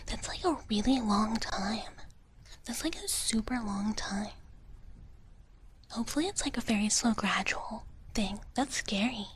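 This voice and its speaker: A woman acts out a gentle, protective dragon big sister for live ASMR. Her voice is a breathy, fairly high whisper, close on the microphone. She rambles softly and hesitantly to viewers over clearly audible background noise. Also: animated, measured pace. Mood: curious, musing. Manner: hushed, refers to herself in third person, hesitant rambling.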